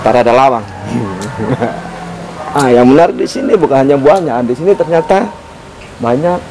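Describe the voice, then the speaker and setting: A man's voice: mid-range voice, unhurried, background noise. A young man from Papua, Indonesia, narrating a casual birding vlog outdoors under a fruit tree, talking with enthusiasm into a handheld camera mic. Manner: enthusiastic, exclaims at what he finds. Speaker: birding vlogger. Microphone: camera mic, handheld selfie framing. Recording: background music under the voice.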